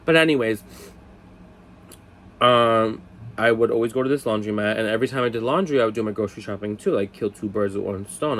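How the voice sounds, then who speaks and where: A man in his twenties telling a casual story, chatty, swearing, with lots of "like" fillers and high-voiced impressions of other people. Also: slight background noise. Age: 20s. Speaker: storyteller vlogger.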